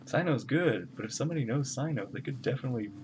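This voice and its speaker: A woman's voice: very deep voice, animated, quick pace, music in the background. A woman game streamer giving casual, informal commentary and musing aloud as she plays. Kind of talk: casual live playthrough commentary.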